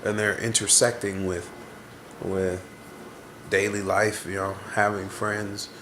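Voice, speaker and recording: Vlogger, young man, low gravelly voice, stuffy nose, rambling and introspective. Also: flat delivery, takes it slowly, background noise. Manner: rambling, introspective, searching for words. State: congested. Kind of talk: casual reflective venting to camera.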